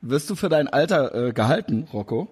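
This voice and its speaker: A man's voice: on the high side, animated, a little fast, darkish tone, slightly rough. A man in his forties interviewing a guest in a casual chat, rambling with many fillers and restarts. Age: 40s. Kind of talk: casual interview over video call.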